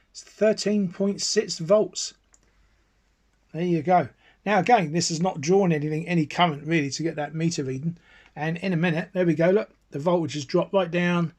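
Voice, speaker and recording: A man's voice: a little high, a little mellow, no echo. A man casually presenting a hands-on product demonstration, chatty and explanatory, repeating points for emphasis. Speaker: amateur radio hobbyist presenting a gear review.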